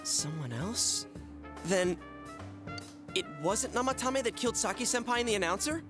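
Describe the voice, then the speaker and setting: A man's voice: sits high, expressive, moderate pace. An actor playing a blunt, hot-headed teenage boy in a video game, speaking in a casual, challenging way. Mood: taken aback. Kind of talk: English video game dub acting. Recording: game music behind the voice.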